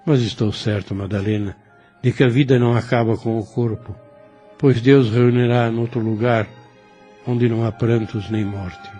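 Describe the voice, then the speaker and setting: An actor playing a gentle, devout, dying old priest who speaks slowly and tenderly in an audio novel. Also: male voice, fairly low pitch, natural voice. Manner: slow, halting, tender speech. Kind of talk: religious audio novel acting.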